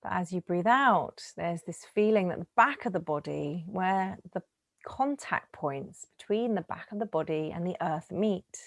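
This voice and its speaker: A woman with a slightly low voice leads a guided relaxation meditation. She speaks gently and soothingly, leaving unhurried pauses between phrases. The sound is very dry and close, with no room around the voice. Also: lively intonation, no echo. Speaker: yoga nidra teacher.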